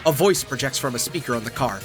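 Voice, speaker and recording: A man's voice: mid-range voice, animated, fast talker. A man reading dramatic narration aloud for a comedy fandub, prone to cracking up. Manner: prone to cracking up mid-line. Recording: music behind the voice.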